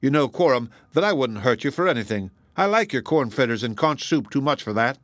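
A man voicing a level-headed, good-humored young sailor, brisk and plain-spoken, in a storybook reading. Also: mid-range voice, lively intonation, a bit bright, no echo, close to an everyday voice. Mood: amused scolding.

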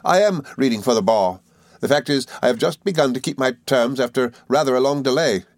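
A man voicing a cheerful, good-natured young gentleman law student in an audiobook, eager and flustered, with little stammering hesitations. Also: medium pitch, brisk, no echo, studio quality, pitched above the performer's own voice, lightly acted. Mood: cheerful, earnest. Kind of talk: audiobook character dialogue.

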